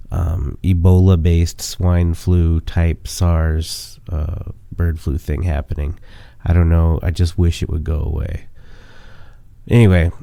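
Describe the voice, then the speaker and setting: A man's voice: deep voice, vocal fry, mellow, no echo. A man in his late thirties with a cold, hosting a podcast in a stuffy, nasal voice, rambling with many fillers before reading a memoir story. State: sick with a cold. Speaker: podcast host. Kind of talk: casual monologue and memoir reading.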